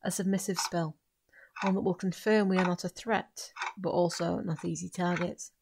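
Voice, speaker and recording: Firm, low-voiced young witch played by a woman. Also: steady tone, moderate pace, gravelly, background noise. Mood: reassuring. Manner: firm, instructive, formal phrasing. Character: composed, bossy young female witch and spell tutor. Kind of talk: audio drama acting.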